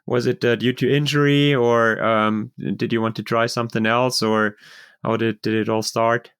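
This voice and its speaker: A man whose first language is German hosts a podcast interview in a friendly, halting way with many fillers. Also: mid-range voice, level tone, fairly bright tone, clean tone. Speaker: podcast host. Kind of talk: casual interview hosting.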